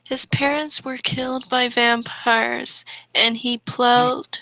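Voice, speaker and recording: A woman co-hosting a comedic read-aloud, halting over the text and adding exasperated asides. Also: on the high side, level tone, pushed and bright, no echo, low-quality recording. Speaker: co-host reading fan fiction aloud.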